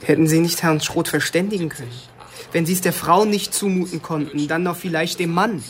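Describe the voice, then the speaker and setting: Young male cop played by an actor, persistent yet polite, lightly pitched, naturalistic radio crime drama. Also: fairly quick, slight background noise, close to an everyday voice. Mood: probing, matter-of-fact. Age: young adult. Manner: matter-of-fact, probing. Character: young, probing small-town police officer, politely persistent.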